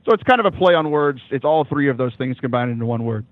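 A young man with a loud voice, talking casually as an interview guest, animated, with many fillers and restarts, laughing often. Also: mid-range voice, fast talker, no room sound. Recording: dry, phone-like call line.

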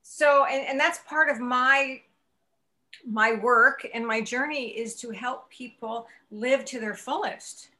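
A woman's voice: fairly high pitch, takes it slowly, bright, forceful tone. A woman in her sixties hosting an interview, talking in an enthusiastic, encouraging, motivational way. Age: 60s. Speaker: podcast host. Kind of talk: interview chat.

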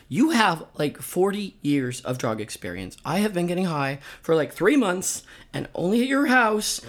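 A woman acts a defensive, self-doubting rock singer in a comedic radio play. Her hoarse voice swings widely in pitch as she stumbles and repeats herself, putting herself down with wry humor. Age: middle-aged. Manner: halting, repeats words, self-deprecating.

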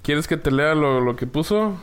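A man co-hosts a casual sports chat, animated and quick to joke. His voice sits a little high and sounds smooth and clear. Faint music plays behind him. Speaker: co-host.

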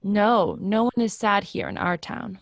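A fatalistic office woman played by a woman in a play reading, medium in pitch and closely, dryly recorded. She is unsentimental and matter-of-fact. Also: unhurried, no room sound, studio quality, natural voice. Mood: dismissive.

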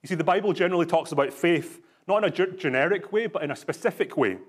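A man's voice: a little high, fast talker. A man from Scotland with a Scottish accent, a pastor giving a conversational sermon full of rhetorical questions and fillers.

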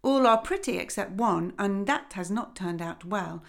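A woman's voice: medium pitch, expressive, normal speed, gravelly. A woman voicing a haughty, condescending noble old female duck.

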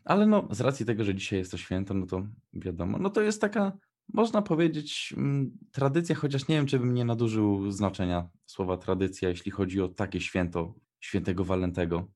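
A man's voice: fairly low pitch, lively intonation, brisk, darkish tone. A young man co-hosting a radio show in casual chat, with informal, hesitant phrasing. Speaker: radio co-host.

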